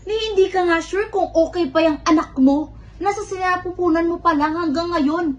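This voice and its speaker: A woman's voice: very high-pitched, fairly even intonation, normal speed. A Filipino woman playing a rebellious student, the younger sister in a stage drama, with a theatrical, exasperated, sarcastic delivery. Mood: hurt, angry. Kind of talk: amateur one-act stage play acting in Filipino. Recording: faint background noise.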